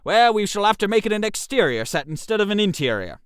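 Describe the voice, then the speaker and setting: A man acts out a bossy male playwright from a comic audio story. His voice is high and animated, with clipped, theatrical, decisive delivery in a dry recording. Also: fast talker, smooth, dry recording. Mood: businesslike, exasperated. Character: bossy, brisk male playwright staging a scheme.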